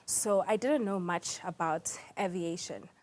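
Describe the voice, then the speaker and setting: Composed South African woman being interviewed. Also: on the low side. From South Africa, small town in Mpumalanga. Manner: composed, measured. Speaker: interview guest, air traffic controller. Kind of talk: television news interview answers.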